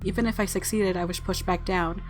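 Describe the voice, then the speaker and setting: A woman's voice: lowish voice, fairly even intonation, a little fast. A woman hosting a gaming video, reading visual novel narration expressively and adding casual commentary. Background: game music.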